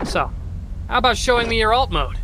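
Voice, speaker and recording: An eager, joking robot crewmate, played by a man for an audio drama. His voice is high, sharp and lively, chummy and talkative. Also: fairly quick, slight background noise, full character voice.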